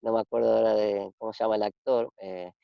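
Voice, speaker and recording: A man in his forties from Argentina, with an Argentine Spanish accent, talking reflectively in a casual interview, pausing and restarting often. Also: fairly low pitch, level tone, creaky, clear, resonant tone, no echo. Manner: reflective, halting with many restarts and fillers. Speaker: interview guest. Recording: dull, dry lo-fi sound.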